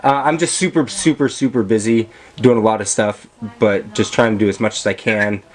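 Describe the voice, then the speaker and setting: Rambling low-voiced man on a call. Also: steady tone, a little fast. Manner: rambling, full of fillers and restarts. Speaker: vlogger and filmmaker. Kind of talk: casual chat on a video call. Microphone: camera mic.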